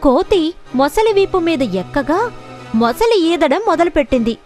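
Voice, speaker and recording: A woman's voice: extremely high pitch, sing-song, measured pace, a little mellow. A woman narrating a scripted children's story. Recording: music behind the voice.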